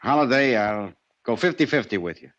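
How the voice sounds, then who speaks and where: An actor playing a shady, cocky American private investigator, slangy and insinuating, in 1940s radio crime drama style. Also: male voice, medium pitch, lively intonation, brisk, a bit bright, lightly acted. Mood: sly, bargaining. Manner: slangy, insinuating, chuckling tough guy. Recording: muffled 1940s radio recording.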